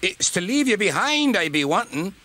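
High, fiery Scottish steam engine. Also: male voice, very animated, measured pace, pushed and bright, exaggerated voice. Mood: angry, threatening. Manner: blustering, emphatic. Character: Donald and Douglas, fiery proud Scottish twin steam engines. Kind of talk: dramatised children's storybook reading.

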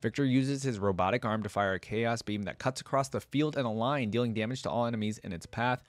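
A man's voice: medium pitch, quick pace, dry recording. Young man, dry close mic, reacting. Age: young adult. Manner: conversational, thinking aloud. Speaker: reaction video host. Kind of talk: casual game reaction commentary. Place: small indoor room at a desk. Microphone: close desk microphone with headset worn.

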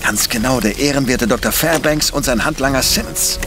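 An actor playing an arrogant, brilliant professor detective in an audio drama, speaking in a pompous, precise, lecturing way. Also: male voice, medium pitch, fairly quick, over music, lightly acted. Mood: urgent, commanding. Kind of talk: German audio drama acting.

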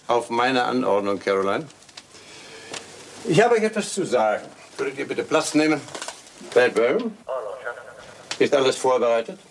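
An actor playing a stern, commanding old English lord in a German radio crime play, speaking deliberately and with authority. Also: male voice, medium pitch, big pitch swings, gravelly, crowd noise. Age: older.

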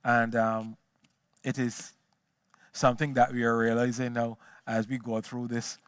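A man's voice: mid-range voice, level tone, a little slow, no room sound. A man gives a history lecture on a small auditorium stage into a headset mic, often repeating words as he searches for the next phrase. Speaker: guest lecturer.

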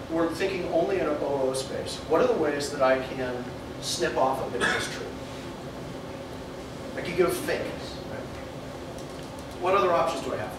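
A man's voice: a little high, measured pace, long pauses. Young man lecturing at a whiteboard. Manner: animated, laughs often, thinks aloud. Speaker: meetup speaker. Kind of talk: talk at a whiteboard with audience questions. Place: meeting room with an audience. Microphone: far camera mic. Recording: echoey room from far off, noisy.

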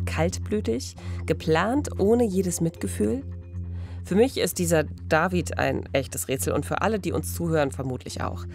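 A woman's voice: on the low side, moderate pace, darkish tone. A woman in her thirties hosting a true-crime podcast conversationally at a studio microphone. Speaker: podcast host. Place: small radio studio. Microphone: studio microphone with pop filter, headphones.

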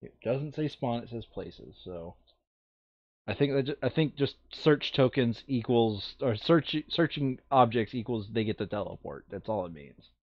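A man's voice: medium pitch, animated, moderate pace, no echo, muffled recording. A man playing a board game over voice chat, thinking aloud casually and muttering counts and rules to himself.

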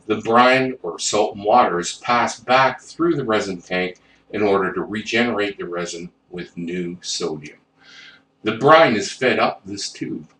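Deep-voiced man narrating a plumbing lesson. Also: fairly bright tone. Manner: reads technical figures step by step with small self-corrections. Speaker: plumbing instructor. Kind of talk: instructional voice-over narration. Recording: roomy, distant mic with faint noise.

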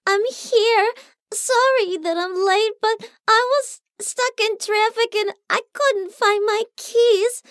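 Girlish cartoon flapper: baby-doll squeak, coy, stuffed-up nose, extremely slow delivery. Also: a bit bright, no echo, studio quality, full character voice.

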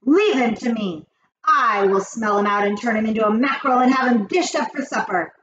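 A woman voicing the cruel, boastful leader of witches in a storybook, with a commanding, grandiose and drawn-out delivery. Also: on the high side, fairly bright tone, muffled recording, slight background noise, close to an everyday voice. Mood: imperious. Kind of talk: storybook character voice.

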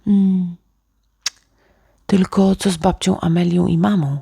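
Woman reading as a dreamy, slow-spoken little boy. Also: on the low side, fairly even intonation, vocal fry, warm tone, breathy edge, dry recording, studio quality, pitched above the performer's own voice, exaggerated voice. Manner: musing, pondering aloud with hums. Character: dreamy, imaginative little boy, sleepy yet excited. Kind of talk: bedtime storybook reading.

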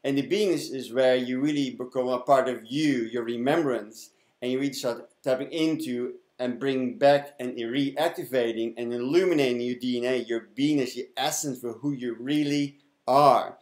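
A man's voice: mid-range voice, moderate pace, a little mellow. A man in his fifties with a Dutch accent gives an effusive spiritual talk to camera, repeating affirming words. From Netherlands. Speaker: spiritual mentor and broadcast host. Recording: roomy, echoing indoor sound.

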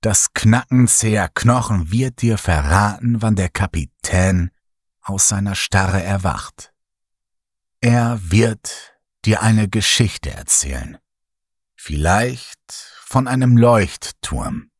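A man reading a horror story aloud in slow, hushed, dramatic narration. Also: lowish voice, lively intonation, breathy edge, dry recording, clean studio sound. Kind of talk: scripted horror audiobook narration.

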